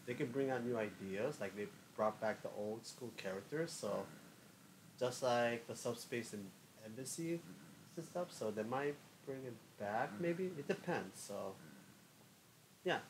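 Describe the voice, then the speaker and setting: Easygoing rambling co-host. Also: male voice, medium pitch, animated, unhurried, slight background noise, rough recording. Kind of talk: casual live stream chat.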